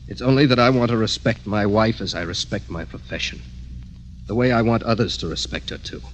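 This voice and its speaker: An actor playing a proud, embittered doctor in 1950s radio drama, stiff, formal and curt. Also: male voice, fairly low pitch, brisk, a little hiss or hum, lightly acted, rough recording. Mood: stern, pleading. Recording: old radio drama, faint music behind.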